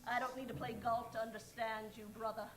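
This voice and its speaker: A woman's voice: a little high, little pitch movement, fast, lightly acted. A woman playing a haughty, tough-talking scheming princess villainess in an improvised radio parody, with a theatrical delivery. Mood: scornful, tough. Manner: imperious, slangy, theatrical. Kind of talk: improvised old-time radio acting.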